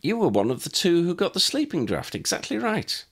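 A man's voice: high-pitched, wildly swooping pitch, brisk, vocal fry, pitched above the performer's own voice, full character voice. A man voicing an eager, curious, slightly sulky young schoolgirl in an audiobook. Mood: bright, friendly. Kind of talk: audiobook character voice.

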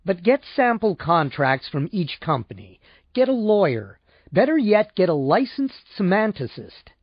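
A man's voice: high-pitched, very animated, a little slow, husky, dry recording, highs cut off, voice pushed up, exaggerated voice. A man voicing an unctuous, easily shocked male insurance salesman who is pompous, fussy and deferential, in an audiobook. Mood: flustered, earnest.

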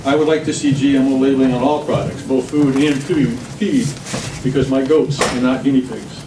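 Middle-aged man, measured formal reading, public testimony, far from the mic, clear background noise. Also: mid-range voice, fairly even intonation. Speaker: member of the public testifying at a hearing. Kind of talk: prepared testimony read aloud. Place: government committee hearing room. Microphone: camera across the room. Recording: distant, echoey room recording with background noise.

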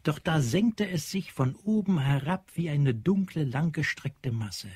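A man's voice: fairly high pitch, lively intonation, measured pace, a little mellow, breathy edge, dry recording. A man narrating a radio drama in a dramatic, literary, scripted style.

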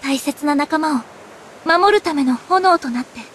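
A woman playing a gentle, quiet teenage girl in an anime game, speaking in a reserved, sincere way. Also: high voice, a little slow, slight background noise. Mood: calm, solemn. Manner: soft-spoken, reserved, sincere.

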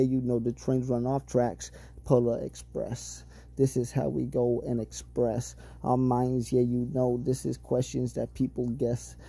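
A man's voice: medium pitch, dark tone. A man rapping a loose, rambling a cappella freestyle, heavy on profanity. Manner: rambling, heavily profane flow. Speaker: amateur freestyle rapper.

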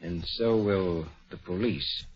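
Sly, low-voiced valet. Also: male voice, takes it slowly. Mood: menacing. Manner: smooth and servile, then threatening. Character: sly valet turned menacing thief. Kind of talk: 1940s radio acting. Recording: muffled old radio broadcast.

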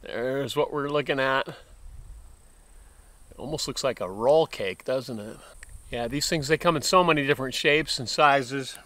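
A man's voice: medium pitch, a little slow, pauses often, a bit bright, dry recording. A man in his fifties, chatting casually to the camera while eating snacks outdoors and reacting with lots of mm sounds and exclamations. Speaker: food vlogger. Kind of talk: casual food review to camera. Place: outdoors in a wooded park, seated at a bench.

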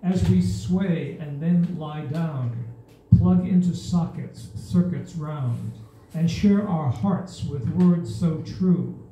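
An older woman reading a rhyming poem aloud at an open mic, in a slow, measured recital. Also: low voice, warm tone, slight background noise. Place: small stage in an indoor venue. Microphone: stand mic, filmed from a far camera. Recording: echoey room from far off.

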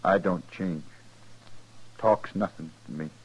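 A bitter hired gunman in a vintage radio western. The voice sits low, and the words come sparse and cold, with flat menace. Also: slow pace, slightly rough, exaggerated voice, rough recording. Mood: menacing. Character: bitter, cold hired gunman, man who hates people. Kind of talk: 1950s radio western acting.

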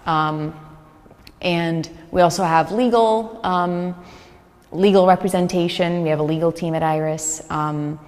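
An earnest woman in her thirties, whose first language is Hungarian, talks in a chatty, easy way, with plenty of ums. Her voice sits a little low. She wears a lapel mic in a large gallery room with a long reverb. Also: steady tone, darkish tone. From United States. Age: 30s. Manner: earnest, chatty, quick laughs, many fillers. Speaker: refugee services manager. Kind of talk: interview answers. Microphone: small lapel mic.

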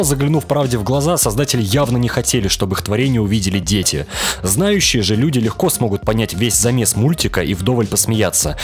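A young man from Russia delivering a scripted, wry review as a voice-over. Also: fairly low pitch. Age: young adult. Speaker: video reviewer. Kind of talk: scripted cartoon review narration. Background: background music.

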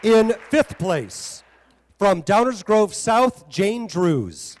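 A man's voice: sits high, very animated, very slow, a little hiss or hum. A man working as an awards announcer, making ceremonial announcements to an audience at an event. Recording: event hall with music and crowd.

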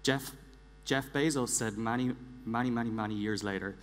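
A man in his forties giving a prepared conference talk on stage in an emphatic, deliberate manner, repeating words for weight. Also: mid-range voice. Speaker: conference speaker, engineering director. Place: large theatre stage, indoors. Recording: echoey large hall, clear background hum.

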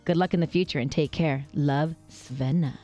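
A woman's voice: sits low, normal speed, dark tone, soft background music. An American woman in her thirties co-hosting a radio show with casual banter. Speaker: radio co-host. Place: radio studio with glass wall. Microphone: close studio microphone.

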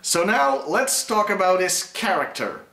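A man presents a scripted review to a camera a few feet away. His delivery is wry and opinionated, with very animated pitch, in an echoey living room. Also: a little slow, vocal fry. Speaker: toy review host.

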